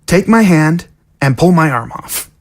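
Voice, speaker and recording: A scheming young zombie girl, acted by a woman, pleads her case in an audio drama. Her voice is husky and lively, rising and falling as she persuades. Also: deep voice, unhurried, heavily acted. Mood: urgent.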